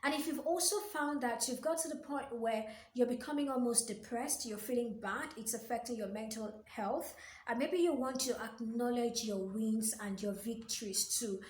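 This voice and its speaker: A woman's voice: fairly high pitch, normal speed, echoey room. A woman giving motivational workplace advice straight to the listener, earnest and fond of repeating her key phrases. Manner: addresses the listener directly with questions. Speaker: workplace coach and video host. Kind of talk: motivational advice talk to camera.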